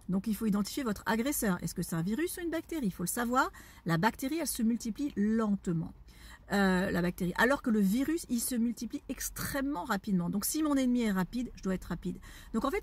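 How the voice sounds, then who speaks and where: A woman's voice: medium pitch, a little mellow, husky. A woman, an aromatherapy educator answering a viewer's question in a didactic way, often checking that listeners follow.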